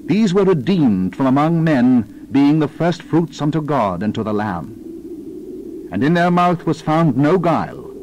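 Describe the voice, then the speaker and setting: Male scripture narrator, higher-pitched, solemn and declamatory, some background noise. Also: very animated, measured pace, a little mellow, highs cut off. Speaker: Bible narrator. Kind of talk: dramatized scripture reading.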